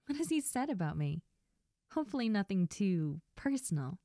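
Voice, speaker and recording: A woman playing a best friend's friendly, nosy older sister in an intimate roleplay audio drama, talking softly and coyly straight to the listener. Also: mid-range voice, very animated, normal speed, no room sound, studio quality. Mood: friendly, grateful. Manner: soft, gentle, coy, addressing the listener directly.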